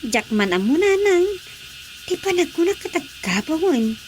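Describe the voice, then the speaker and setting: A woman's voice: extremely high pitch, very animated, unhurried, slight background noise, heavily acted. A woman playing a fretful, exclamatory young daughter in a comedy radio drama. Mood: questioning, fretful.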